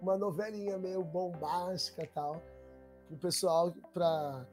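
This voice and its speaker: A young Brazilian man, a podcast guest telling stories in relaxed, slang-heavy casual chat. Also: high-pitched, a little slow, faint music behind. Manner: slangy street talk, relaxed. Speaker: documentary maker, guest.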